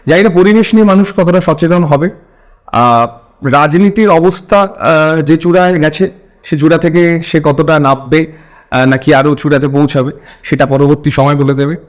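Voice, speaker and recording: A man's voice: a little high, normal speed. A man with a Bengali accent speaking as an interviewer in a conversational wrap-up style.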